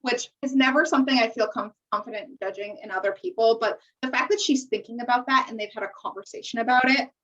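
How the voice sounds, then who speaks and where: An American woman with a fairly high voice chats as a podcast co-host. She is candid and opinionated and swears casually. The sound is somewhat muffled, as if she sits away from the microphone. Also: quick pace, few pauses, fairly bright tone, far from the mic. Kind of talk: casual chat recapping a reality TV show.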